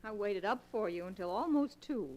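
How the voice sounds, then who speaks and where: An actress playing a soft-spoken, affectionate young wife in an old-time radio drama. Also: female voice, medium pitch, lively intonation, normal speed, natural voice. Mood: tender. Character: gentle ailing young wife, tender. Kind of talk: 1940s radio acting.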